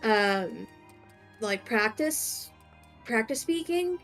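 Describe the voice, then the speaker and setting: A woman's voice: on the high side, animated, drawn-out words, fairly bright tone, soft background music. A young woman streaming a game, chatting casually with lots of fillers and restarts. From United States. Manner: hesitant, many fillers and restarts. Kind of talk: casual chat.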